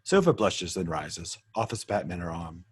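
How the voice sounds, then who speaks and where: A man's voice: low voice, big pitch swings, normal speed, breathy edge. A man narrating stage directions in a script table read, matter-of-fact and occasionally stumbling over words.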